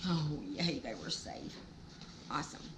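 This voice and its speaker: A woman's voice: low voice, animated, measured pace, background noise. A woman reading a children's storybook aloud, with folksy, exclaiming asides to the listener. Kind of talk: animated storybook read-aloud with chatty asides.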